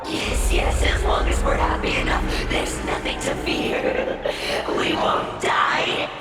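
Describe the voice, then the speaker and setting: A woman's voice: slow pace, lightly acted. A woman playing an unhinged, zealous cult leader preaching in a fervent, grandiose chant for a video game. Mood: manic zeal. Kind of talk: theatrical video game acting. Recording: very echoey, distant, under loud music.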